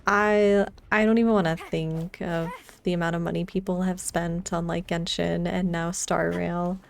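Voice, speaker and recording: A woman streaming a game and chatting casually, unhurried and rambling as she thinks aloud. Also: on the low side, level tone, vocal fry, slight background noise. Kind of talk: casual livestream chat over gameplay.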